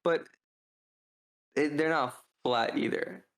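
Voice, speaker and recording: A man co-hosting a podcast, talking through a book review in a casual, rambling, halting way as he thinks out loud. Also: fairly high pitch. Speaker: podcast co-host.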